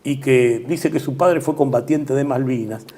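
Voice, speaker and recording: An older man with an Argentine Spanish accent giving measured political commentary as an interview guest, trailing off at the ends of phrases and filling pauses with "este". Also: medium pitch, warm tone, husky.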